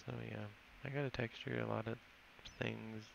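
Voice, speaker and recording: A low-pitched American man talks through his game project, thinking aloud, trailing off and correcting himself. His voice is heavily gravelly and stays flat. The recording is dull and lo-fi, with steady hiss behind him. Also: normal speed. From California. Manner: rambling, self-correcting, thinking aloud while working. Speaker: game developer. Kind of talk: casual screen-recorded dev log.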